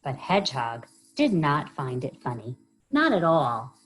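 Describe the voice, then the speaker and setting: A woman reading a children's story aloud as a library storyteller, expressive and often pausing to put questions to young listeners. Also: sits low, slight background noise, poor audio.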